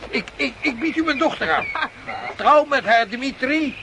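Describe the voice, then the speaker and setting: High-voiced, flustered peasant man, noisy recording. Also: moderate pace. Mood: overwhelmed gratitude. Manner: stammering, repeats words when nervous. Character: humble, easily flustered Russian peasant husband, grateful storyteller. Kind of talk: Dutch radio play acting. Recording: noisy radio play recording.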